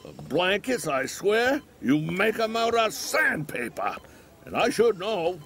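A man's voice: high voice, sing-song, slow pace, fairly bright tone, a little hiss or hum, full character voice. An actor playing a cranky, crude old man in a hospital bed, grumbling, sarcastic and teasing, in an audio drama. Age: older. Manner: grumbling, sarcastic, bellowing for the nurse.

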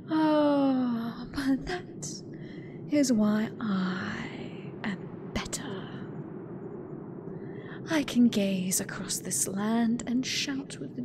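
A British woman voicing a hateful sentient AI god in a breathy, deliberate whisper for an ASMR horror roleplay. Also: on the high side, noisy background, heavily acted. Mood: gloating contempt. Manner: slow, deliberate, menacing, theatrical. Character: hateful sentient female AI god gloating over last human.

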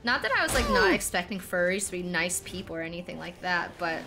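A young woman streaming a game, with a very high-pitched, childlike voice, telling a rambling, self-deprecating story with laughs and swearing. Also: lively intonation, fast, background noise. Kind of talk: casual gaming stream chat.

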